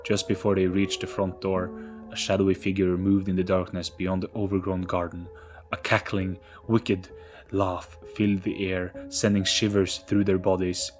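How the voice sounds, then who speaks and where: A man reading a scripted, dramatic story in an ominous, measured storytelling manner. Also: low-pitched, level tone, over music. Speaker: audio story narrator.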